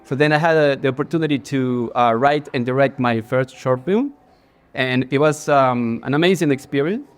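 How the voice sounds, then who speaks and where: A man's voice: mid-range voice, moderate pace, smooth, soft background music. A man in his forties from Ecuador with an Ecuadorian Spanish accent, speaking as a guest in a casual stage interview, earnest, with many fillers and restarts. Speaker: guest, creative director. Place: bar, live audience.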